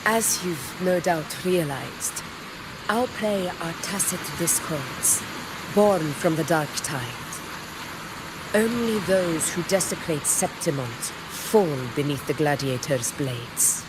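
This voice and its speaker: Proud female gladiator boss from a game, slow n regal, kinda low voice, noisy ambience behind her. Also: lively intonation. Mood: solemn, resolute. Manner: formal, stately, measured and self-assured. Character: proud, commanding female gladiator war leader, regal and battle-hungry. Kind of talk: dramatic video game character dialogue.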